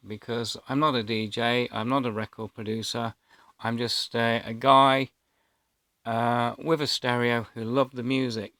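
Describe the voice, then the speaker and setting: A man's voice: mid-range voice, a bit bright, no room sound, clean studio sound. A man, telling a personal story casually to camera in a hesitant, rambling way. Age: 50s. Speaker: vlogger.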